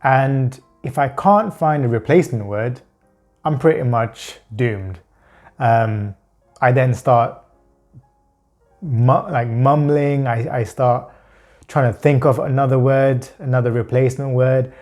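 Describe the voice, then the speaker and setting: A man who stutters, talking about his experiences with long blocks, halting pauses and repeated words. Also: medium pitch, a little mellow, faint music behind. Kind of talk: personal talk to camera.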